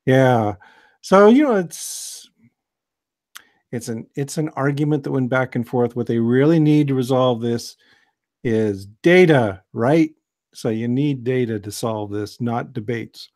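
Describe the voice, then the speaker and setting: An American man giving a relaxed, digressive science lecture on a video call, with many fillers and restarts. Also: medium pitch, a little slow. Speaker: host, biology lecturer.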